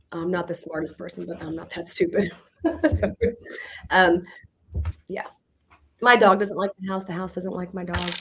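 A woman, chatting casually as a guest who owns a house, talking through a voice she is losing, joking often and filling pauses with ums. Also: on the low side, a bit bright, roomy sound. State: losing her voice. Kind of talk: casual livestream chat.

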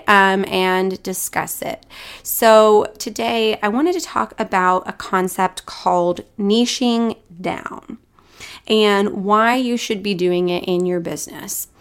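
A woman's voice: mid-range voice, steady tone, slow, clean tone. A woman hosting a solo podcast in a casual, upbeat and encouraging way, still a little congested and scratchy from a recent cold. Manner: upbeat and encouraging, with frequent fillers. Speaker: podcast host.

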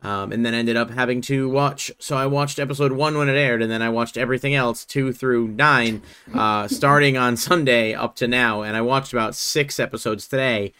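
A young man hosting a casual podcast, a little hoarse from a sore throat, talking in a relaxed, rambling way with many fillers. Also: mid-range voice, normal speed. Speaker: podcast host. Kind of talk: casual chat.

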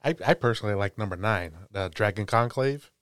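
A man with a fairly low voice chats on a gaming podcast. He speaks casually as one of the co-hosts. The sound is dry, without noticeable echo. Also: a little fast, a bit bright, clear, resonant tone. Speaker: podcast co-host. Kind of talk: casual tabletop gaming discussion.

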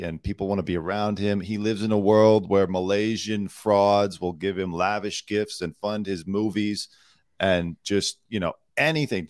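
A man co-hosting a casual podcast, talking in an animated, opinionated way with emphatic asides. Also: on the low side, no room sound, clean studio sound. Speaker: podcast co-host.

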